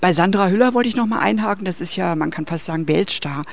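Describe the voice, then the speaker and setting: A man interviewing a film director, asking measured, polite questions. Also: high-pitched, creaky.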